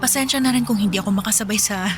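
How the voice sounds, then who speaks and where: A woman's voice: mid-range voice, rapid-fire, natural voice. A woman acting as an older girlfriend of a younger man in a Filipino radio drama, talking casually. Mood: apologetic, sweet. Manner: casual, conversational, girlfriend talk. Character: older liberated-yet-modest woman dating a younger man. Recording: music behind the voice.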